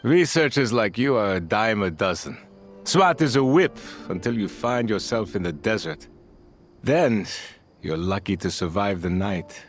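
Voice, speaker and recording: An actor voicing a seasoned, fatherly desert band leader, gruff but kind, with a folksy, plain-spoken manner in a video game. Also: fairly low pitch, expressive, measured pace. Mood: gruff, wry. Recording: studio voice over prominent music. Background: music.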